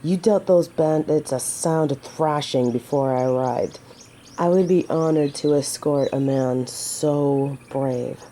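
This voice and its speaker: A woman's voice: deep voice, slow, warm tone, a little hiss or hum. A woman playing a noble, humble lady knight in an audio drama, courteous and protective, with formal, old-fashioned courtly phrasing. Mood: earnest, gallant. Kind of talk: scripted roleplay audio drama to the listener.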